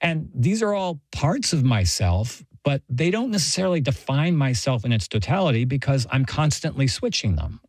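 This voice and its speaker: A man's voice: mid-range voice, very animated, moderate pace, hardly any pauses, a little mellow, dry recording. Dry close-sounding American male professor. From Texas, United States. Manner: thoughtful, explanatory. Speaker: interview guest, professor. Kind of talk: conversational explanation and personal anecdote.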